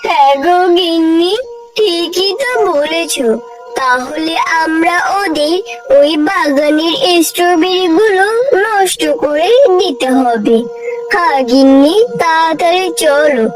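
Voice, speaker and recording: A jealous cartoon villain, a gloomy village shopkeeper, acted by a woman, grumbles slowly at a very high pitch. The voice is a little distant, with loud music behind it. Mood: scheming. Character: jealous, gloomy village vegetable seller, scheming husband. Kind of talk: cartoon dialogue.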